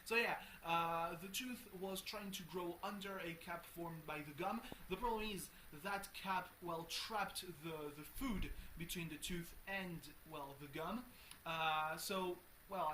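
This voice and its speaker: Rambling young man with a bright voice. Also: a little high, steady tone, unhurried, a little hiss or hum, rough recording. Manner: rambling, many restarts and fillers, swears casually. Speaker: vlogger. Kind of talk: casual personal video diary.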